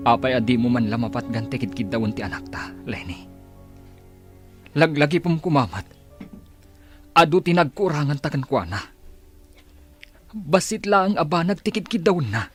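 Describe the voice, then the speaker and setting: A gentle, devoted father from an Ilocano radio drama, acted by a man. He has a husky, mid-pitched voice and an Ilocano accent, speaking earnestly with music behind him. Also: animated, normal speed, long pauses. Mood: concerned.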